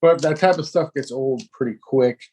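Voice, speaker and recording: A man in his thirties, born in Cuba and raised in Miami, telling his story as a podcast guest in a relaxed way and laughing now and then. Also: medium pitch, moderate pace, slight background noise. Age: 30s. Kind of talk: casual interview storytelling.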